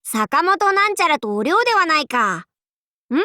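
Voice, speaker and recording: A high, very animated young warlord girl for an anime game, recorded close and dry. She speaks in grand archaic lordly phrases, imperious and boastful, yet slips readily into playful clowning. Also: normal speed, dry recording, heavily acted. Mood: breezy, teasing. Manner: archaic lordly speech, haughty, abruptly switching to goofy. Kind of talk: Japanese anime game character voice lines.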